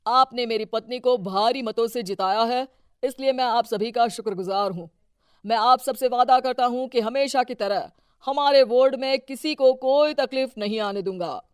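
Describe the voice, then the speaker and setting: Someone playing a clear-voiced, domineering male politician. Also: very high voice, normal speed, dry recording, clean studio sound. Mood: oratorical. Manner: bossy, self-important. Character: domineering, greedy, boastful husband, a local politician. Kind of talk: Hindi cartoon story dialogue.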